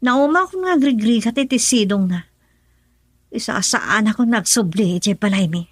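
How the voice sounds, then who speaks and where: An actress with an Ilocano accent playing a troubled young wife who answers anxiously and defensively. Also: female voice, fairly high pitch, normal speed, lightly acted. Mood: resentful. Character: troubled young wife, resentful of her husband. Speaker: daughter. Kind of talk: radio drama acting.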